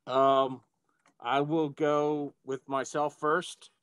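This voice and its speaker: A man's voice: fairly high pitch, level tone, drawn-out words, a bit bright. A man chatting casually as a player in a tabletop game. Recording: clearly noisy microphone with clicks.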